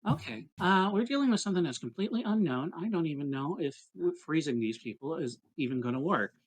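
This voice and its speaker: A man talking casually as a player in a tabletop game, tentative and measured. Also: on the high side, animated, rough recording.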